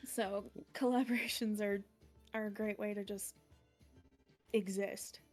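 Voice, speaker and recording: A woman streamer with a deeper voice for a woman, chatting casually as a guest in an interview, rambling and laughing often. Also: fairly even intonation, a little slow, a little mellow, soft background music.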